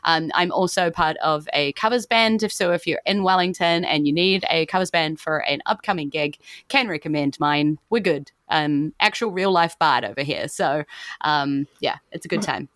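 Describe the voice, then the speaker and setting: Chatty New Zealand woman hosting, fairly low voice. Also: moderate pace, fairly bright tone, dry recording. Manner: chatty, informal, jokey. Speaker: podcast host and game master. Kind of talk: casual podcast outro chat.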